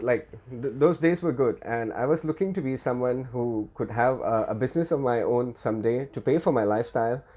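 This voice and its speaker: A middle-aged man from Thailand and India hosting an informal show, telling personal stories in a halting way with repeated words. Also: mid-range voice. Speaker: podcast host. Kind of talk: informal personal storytelling to listeners.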